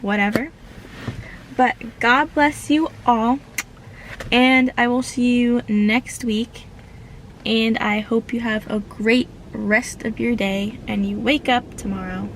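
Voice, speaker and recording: Gravelly-voiced young woman vlogging from her car. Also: a little high, fairly even intonation, slow pace, slight background noise, poor audio. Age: late teens. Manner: earnest, grateful, rambling with fillers. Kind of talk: reflective casual vlog. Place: inside a parked car.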